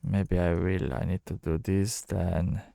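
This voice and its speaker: A man giving casual, low-key game commentary, thinking out loud in halting phrases as he plays. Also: flat delivery, gravelly, no room sound, studio quality. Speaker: gamer narrating own playthrough.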